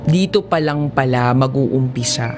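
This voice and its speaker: A woman with a Filipino accent narrates a first-person life story in a measured, confessional audiobook drama style. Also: rumbling low, over music. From Philippines.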